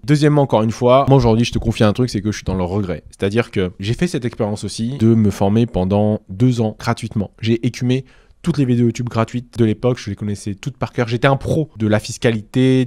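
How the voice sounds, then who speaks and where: A man in his thirties talks straight to camera, rapid and insistent, and presses his points on the viewer with rhetorical questions. His voice sits at an ordinary middle pitch. The sound is dry and close, with no room around it. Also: a little mellow, dry recording. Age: 30s. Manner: persuasive, addresses viewer directly, rhetorical questions. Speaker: real estate training channel host. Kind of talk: direct-to-camera advice monologue.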